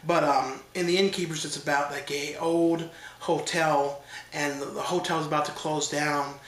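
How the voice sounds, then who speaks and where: A man's voice: on the high side, steady tone, normal speed, fairly bright tone, reverberant. A man with a stuffed-up nose from allergies giving a loose, rambling casual review, with many fillers and restarts. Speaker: horror film reviewer.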